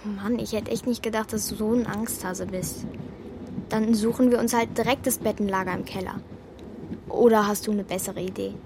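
A woman's voice: medium pitch, fairly even intonation, normal speed, noisy background, lightly acted. A woman playing a bossy, streetwise young girl with a brash, know-it-all manner in a children's radio play. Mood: impatient.

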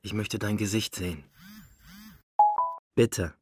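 An actor playing an anxious lover who implores urgently, with a husky voice, in a radio drama. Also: male voice, lowish voice, slow, dry recording. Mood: pleading. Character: pleading, anxious male lover.

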